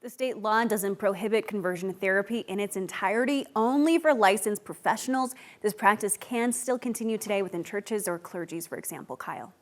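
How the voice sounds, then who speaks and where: A woman's voice: medium pitch, brisk, few pauses. An American woman reporter giving a live report to a news anchor.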